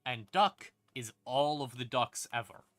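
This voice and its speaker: A man's voice: mid-range voice, animated, unhurried, no room sound. A man streamer explaining game lore in a casual, rambling, wry and emphatic way, with some swearing. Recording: music playing behind the voice. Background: creepy ambient music.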